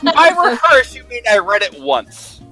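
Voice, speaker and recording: A man's voice: very high-pitched, wildly swooping pitch, unhurried, music in the background. A young man joking around casually in a goofy, mock-contrary way. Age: young adult.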